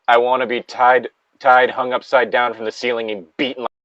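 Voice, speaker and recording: A man's voice: medium pitch, little pitch movement, a little slow, fairly bright tone, no echo, muffled recording. A man in a casual, joking group chat, with a crude, profane way of talking.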